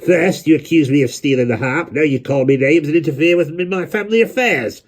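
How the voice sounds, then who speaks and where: A man's voice: fairly high pitch, quick pace, pitched above the performer's own voice, natural voice. A man voicing a stern, proud old museum keeper who speaks in a cold, clipped, formal way. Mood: exasperated. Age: older.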